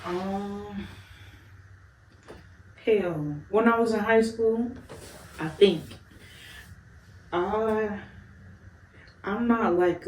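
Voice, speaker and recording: A woman's voice: fairly low pitch, darkish tone, distant mic, slight background noise. A young woman from Chicago talks candidly as an interview guest, laughing often and cursing without fuss. She sits well back from the microphone in a roomy space with faint ambience behind her. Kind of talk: casual interview chat.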